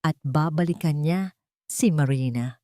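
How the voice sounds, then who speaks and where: Lower-pitched woman narrating drama, dry sound. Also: unhurried, mellow, no echo. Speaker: story narrator.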